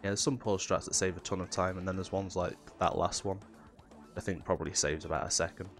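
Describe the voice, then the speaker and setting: A man with a very low voice talks through his speedrun in a relaxed, explanatory way, with plenty of ums along the way. He sounds nasal and stuffed up from a cold. Faint game music plays underneath. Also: brisk. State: has a cold. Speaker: speedrunner commentating his own run. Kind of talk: casual technical commentary.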